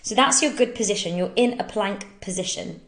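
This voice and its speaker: A woman's voice: medium pitch, moderate pace. An English woman hosting a fitness Q&A, chatty and upbeat, who laughs often, sounding a bit bunged up from hay fever while she talks through exercise technique. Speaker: fitness coach and podcast host. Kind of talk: casual live Q&A, talking through exercise technique.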